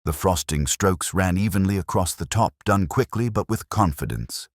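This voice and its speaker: Very deep, husky male story narrator. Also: moderate pace, dry recording, studio quality. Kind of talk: scripted mystery story narration.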